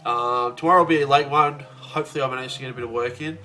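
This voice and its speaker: A young man vlogging casually about his comic work, relaxed and rambling with lots of fillers, a bit sniffly with his voice slightly off. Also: medium pitch, rapid-fire, faint music behind. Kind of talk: casual video diary.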